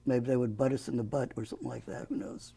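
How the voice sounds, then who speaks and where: Gravelly older man hosting a talk show. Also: mid-range voice, steady tone, quick pace, dark tone. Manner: folksy, joking asides. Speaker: host. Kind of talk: local access TV talk show.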